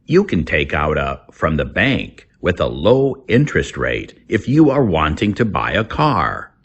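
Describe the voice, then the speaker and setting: Deep, gravelly man reading slowly. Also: sing-song, lo-fi sound. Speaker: English teacher. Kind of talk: scripted lesson narration, slow read-aloud.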